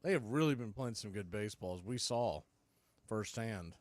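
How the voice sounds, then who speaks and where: A man's voice: on the low side, animated, moderate pace, dry recording. A man working as a baseball announcer, giving live play-by-play commentary mixed with score updates in clipped phrases.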